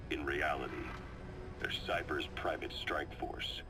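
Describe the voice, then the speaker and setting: A man playing a seasoned, calm and knowing military adviser in a video game, briefing his commander in a measured, confiding storytelling delivery. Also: a bass voice. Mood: grave, ominous. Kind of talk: video game voice acting, dramatic briefing monologue. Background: music.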